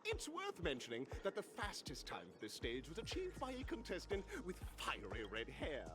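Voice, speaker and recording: A man's voice: on the high side, wildly swooping pitch, normal speed. A man playing a flamboyant, grandiose game show announcer, theatrically presenting a festival contest in a video game, with music behind him. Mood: showy, brisk.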